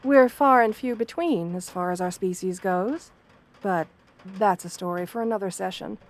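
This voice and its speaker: A woman plays a wolf-girl therapist in an ASMR roleplay, with a soft-spoken voice of medium female pitch. She is gentle and understanding, choosing formal words with measured care. Her manner stays composed, professional and reassuring. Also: natural voice. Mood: polite, welcoming.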